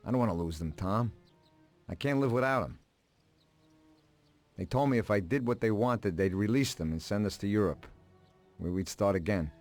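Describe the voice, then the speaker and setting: Guy acting as tired older american mob bookkeeper, lowish voice, resigned and pleading, game cutscene, music behind. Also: a little mellow, natural voice. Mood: weary, pleading. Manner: weary, halting, pleading. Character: weary older mob accountant, resigned family man. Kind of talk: dramatic video game voice acting. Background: background music.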